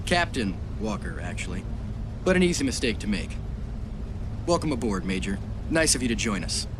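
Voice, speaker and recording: An actor playing a determined navy captain with a dry humor, narrating game cutscenes. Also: male voice, lowish voice, big pitch swings, moderate pace, background noise.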